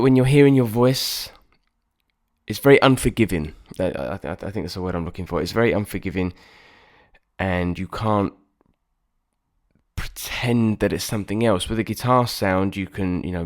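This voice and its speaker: A man with a nasal voice, a singer-songwriter rambling casually and self-deprecatingly about his album recording sessions. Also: low-pitched. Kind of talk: reflective casual vlog talk.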